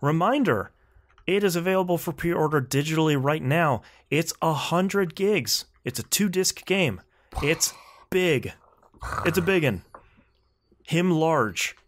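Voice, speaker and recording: A man's voice: a little high, expressive, unhurried. Man chatting casually as a gaming podcast host. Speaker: video game podcast host. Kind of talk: casual podcast chat about video games.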